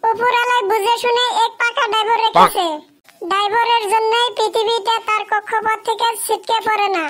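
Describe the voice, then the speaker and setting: A woman's voice: very high voice, little pitch movement, brisk, a bit bright, a little hiss or hum. A woman voicing a silly, earnest and confidently naive schoolboy in a comedy cartoon. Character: silly, confident schoolboy pupil giving wrong answers.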